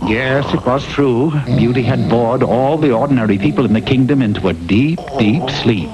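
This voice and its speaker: A man's voice: medium pitch, moderate pace, natural voice. A man playing a droll, theatrical storyteller narrating a comic fairy tale for a classic cartoon. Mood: droll storytelling. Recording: noisy old TV cartoon recording.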